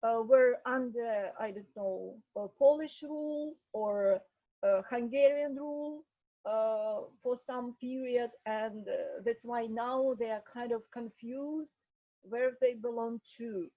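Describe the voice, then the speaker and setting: A woman's voice: fairly high pitch, slow, no echo, dull audio. Hesitant Ukrainian woman on a video call. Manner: hesitant, many fillers and soft laughs. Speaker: guest speaker.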